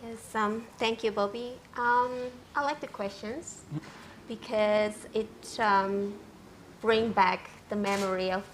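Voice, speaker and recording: A woman from Indonesia, telling her story as a panelist, hesitant and pausing often. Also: mid-range voice, fairly even intonation, slight background noise. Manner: hesitant, with frequent ums and non-native grammar.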